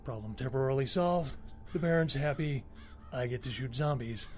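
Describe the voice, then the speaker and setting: A man's voice: mid-range voice, moderate pace, darkish tone, natural voice. An actor playing a cocky, trigger-happy cemetery caretaker in a video game, wry and colloquial, swaggering as he talks. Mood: wry, boastful. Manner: wry, swaggering, gleeful about violence, colloquial.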